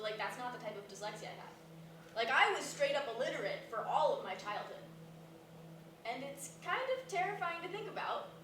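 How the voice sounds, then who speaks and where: A woman's voice: on the high side, lightly acted. A woman acting a blunt, talkative, self-deprecating woman in casual, naturalistic dialogue at a staged play reading. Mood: self-deprecating, candid. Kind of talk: naturalistic stage dialogue before a live audience. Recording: echoey, noisy room recorded from far off.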